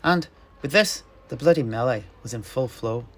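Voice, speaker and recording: A man narrating a fantasy battle tale in character, theatrically and with deliberate, dramatic pauses. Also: mid-range voice. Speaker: storyteller guest in an in-character fantasy chat.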